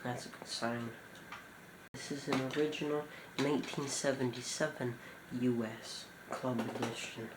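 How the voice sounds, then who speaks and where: A man giving an informal show-and-tell commentary as a collector, talking in short, halting phrases. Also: medium pitch, fairly even intonation. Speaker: cassette collector presenting a collection. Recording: echoey room with clear background noise.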